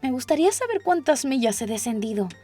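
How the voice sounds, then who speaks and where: A woman's voice: sits high, sing-song, quick pace, mellow, soft background music, voice pushed up. A woman voicing a curious, dreamy young girl who chatters and muses to herself in a storybook. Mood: musing. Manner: musing aloud, questioning, wordy. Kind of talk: storybook character voice.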